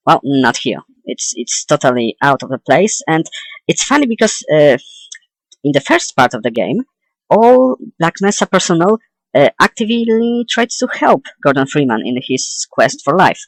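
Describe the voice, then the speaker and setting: A young adult man giving an opinionated, informal video essay as a game commentator, halting and correcting himself as he goes. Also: a little high, sing-song, dry recording, poor audio. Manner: hesitant, halting delivery with self-corrections.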